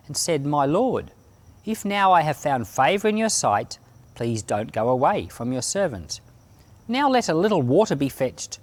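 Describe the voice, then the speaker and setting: Expressive man reading scripture aloud. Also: fairly high pitch. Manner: expressive, deliberate phrasing. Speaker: Bible podcast reader and pastor. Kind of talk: scripture reading, dramatized narration.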